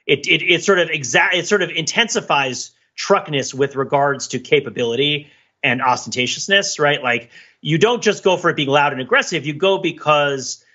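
A middle-aged man talks through ideas on a podcast in a wordy, mock-academic way. His voice is bright and forceful with a husky edge, at an ordinary pitch. The recording is a little dull and lo-fi. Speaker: podcast panelist. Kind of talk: casual analytical podcast discussion.

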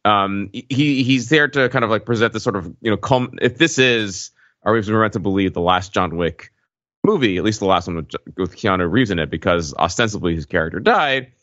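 Deep-voiced man, halting casual podcast talk. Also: a bit bright, no echo. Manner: halting, stop-and-start, many filler tags. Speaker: podcast co-host. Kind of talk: casual film discussion. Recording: dull, slightly lo-fi, dry microphone.

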